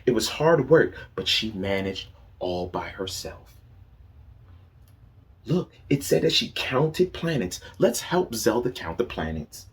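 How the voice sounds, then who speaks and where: A man's voice: fairly low pitch, big pitch swings, slow pace. A man reading a picture book aloud to young children as a teacher, asking them questions and praising their answers.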